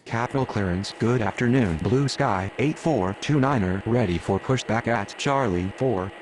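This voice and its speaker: A man's voice: on the low side, moderate pace. A man speaking clipped, procedural air traffic radio phrases in a game.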